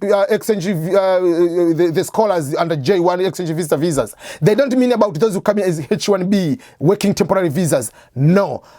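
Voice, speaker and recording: A man's voice: high voice, dark tone, no echo, studio quality. A man hosting an advice show, explaining step by step in a conversational way, asking and answering his own questions. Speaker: host of an immigration advice channel. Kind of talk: explanatory talk to camera.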